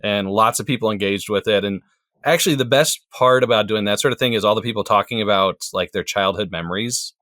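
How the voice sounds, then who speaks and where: A man's voice: on the low side, brisk, fairly bright tone, no echo, studio quality. A man talking as a podcast guest, easygoing and thoughtful, with frequent fillers. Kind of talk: casual chat.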